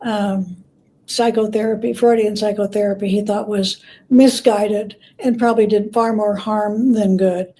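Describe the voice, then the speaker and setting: A woman's voice: mid-range voice, steady tone, measured pace, mellow, low-quality recording. A woman speaking as an expert interview guest, explaining informally through anecdotes and paraphrased quotes.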